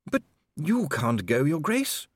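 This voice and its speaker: Storybook diplomatic interpreter, male narrator acting: deferential, careful, anxious, raised pitch. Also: wildly swooping pitch, slow, slightly rough, no room sound, heavily acted. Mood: flustered. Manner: hesitant, polite, careful.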